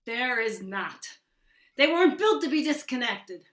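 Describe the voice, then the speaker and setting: A woman's voice: on the high side, sing-song, unhurried, fairly bright tone. A woman playing a glum, fatalistic male technician with a wry, gloomy manner, in old-time radio comedy style with a roomy echo. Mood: defeated, wry.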